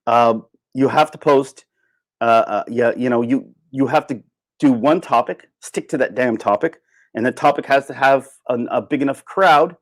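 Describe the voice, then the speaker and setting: A man's voice: medium pitch, dry recording. A man hosting a casual livestream, talking off the cuff in a rambling way and repeating phrases for emphasis. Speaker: livestream host. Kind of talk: casual unscripted livestream rant, reading and answering chat.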